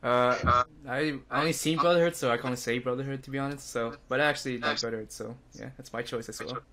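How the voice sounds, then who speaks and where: A man's voice: medium pitch, steady tone, fast, bright, forceful tone. A man chatting casually as a guest on a podcast. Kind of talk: casual chat.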